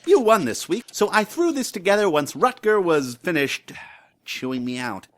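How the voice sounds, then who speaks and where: A man acting a hapless, hot-headed young farmer in a fan radio play, earnest and excitable. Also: sits high, normal speed. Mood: chatty.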